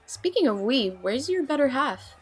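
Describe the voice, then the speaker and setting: A woman's voice: sits high, sing-song, normal speed, husky, soft background music, heavily acted. A woman playing a guarded, troubled young woman in an audio drama, speaking in a hesitant, plain way. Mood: polite, casual. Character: guarded, troubled young woman named Nicole, awkward and wary. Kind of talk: audio drama dialogue, naturalistic amateur acting.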